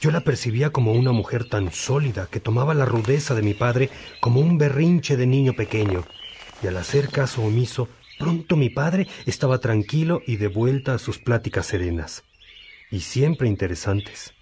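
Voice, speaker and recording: A man playing a priest fondly and reflectively recalling his parents in a radio drama, speaking in a measured way. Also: medium pitch, lively intonation, a little mellow, natural voice. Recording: faint noise, birdsong ambience. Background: birdsong.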